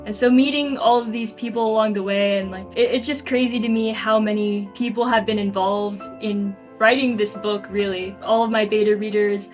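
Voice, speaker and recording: Young woman, casual interview, faint music behind. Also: mid-range voice. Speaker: interview guest, novelist. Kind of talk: casual, reflective interview answers.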